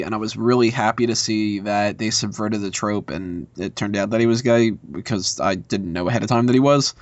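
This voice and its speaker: A man's voice: fairly low pitch, fairly bright tone, no echo, muffled recording. A man speaking as a podcast panelist, giving informal opinions in a casual movie discussion.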